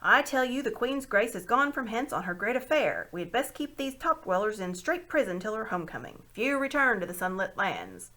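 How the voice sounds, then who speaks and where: A solemn underworld gnome on sentry duty acted by a woman for a storybook. He speaks in formal, old-fashioned phrases with a varied pitch. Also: big pitch swings, a little fast, hardly any pauses, sharp tone.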